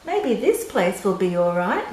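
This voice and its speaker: A woman's voice: medium pitch, very animated, unhurried. Woman as a bossy, fussy bird wife. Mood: decided, satisfied. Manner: blunt, opinionated. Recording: roomy echo, faint background noise.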